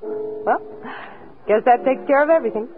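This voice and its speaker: A woman's voice: sits high, normal speed, natural voice. An actress playing a cool, teasing, self-possessed female agent in 1940s radio drama, with an arch, coy manner. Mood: softening, coy. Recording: tinny old radio recording, music.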